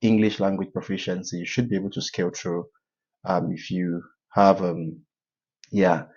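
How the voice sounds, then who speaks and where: A man walking viewers through a form in a tutorial, giving advice in a halting way with many filler sounds. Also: low-pitched, steady tone, a little mellow, slightly rough, highs cut off. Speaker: scholarship advice YouTuber. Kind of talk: screen-share walkthrough tutorial.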